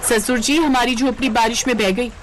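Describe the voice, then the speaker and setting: A woman's voice: a little high, steady tone, brisk, a little hiss or hum, close to an everyday voice. A woman voicing an eager, coy young slum girl in a cartoon story. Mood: worried, agreeable.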